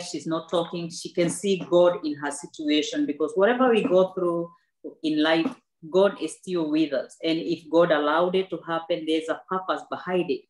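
Woman in her thirties, lowish voice, earnest and reflective testimony, roomy echo. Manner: earnest, faith-filled, reflective, with restarts. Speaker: author and guest. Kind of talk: personal testimony in a book club talk. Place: indoor room with a backdrop.

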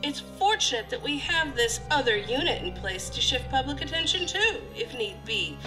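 A woman's voice: sits high, sing-song, moderate pace, music in the background, rough recording. A woman playing a stern, critical deputy director of a federal agency in an audio drama, formal and authoritative. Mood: stern, disapproving.

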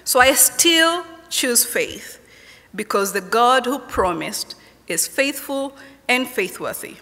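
A Kenyan woman in her forties speaks at a chapel lectern, delivering her testimony slowly, with deliberate, repeating refrains. Her voice is bright and fairly high. A long hall reverb trails behind her through the lectern mic. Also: animated. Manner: slow, measured, rhythmic repetition. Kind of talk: personal testimony sermon read from notes. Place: university chapel stage at a lectern. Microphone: lectern microphone.

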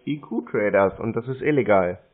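A man's voice: fairly low pitch, expressive, normal speed. A man chatting casually and laconically, with blunt, offhand answers. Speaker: scam baiter taking calls. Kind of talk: casual phone conversation. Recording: muffled tinny phone-like audio.

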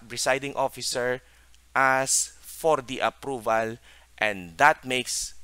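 Male lecturer, middle-aged, Filipino accent. Crisp, forceful voice, deliberate emphatic phrasing. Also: mid-range voice, no echo. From Philippines. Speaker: lecturer on parliamentary procedure. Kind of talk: instructional lecture over slides.

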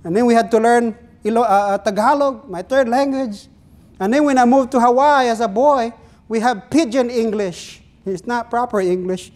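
A man's voice: very high voice, a little mellow. A middle-aged man from the Philippines with a Filipino accent, preaching an earnest sermon from a pulpit in a small church and putting questions to his listeners. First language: Ilonggo. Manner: earnest preaching, drawn-out phrases, asks the congregation questions. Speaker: pastor.

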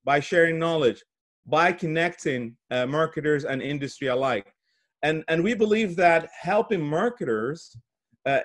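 A middle-aged man from Brazil giving a presentation on a video call, speaking haltingly with repeated words and pauses. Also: fairly high pitch. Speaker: guest speaker giving opening remarks. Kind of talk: presentation at an online summit. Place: indoor office, on a video call.

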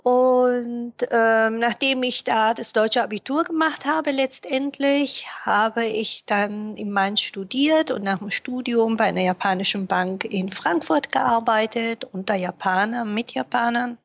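Slow, thoughtful woman with many pauses. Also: on the high side, vocal fry. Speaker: interview guest, interpreter. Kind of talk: casual interview talk.

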